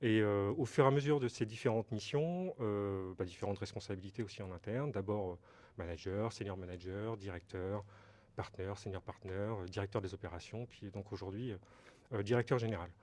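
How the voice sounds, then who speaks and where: A man's voice: lowish voice, a little fast, darkish tone. A middle-aged man from Brittany, France, answering interview questions as a company director, explaining hesitantly with many fillers and repeated words.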